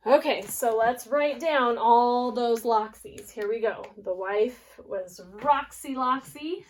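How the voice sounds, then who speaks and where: A woman preschool teacher reading aloud and leading a lesson, deliberate and expressive for small children. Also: a little high.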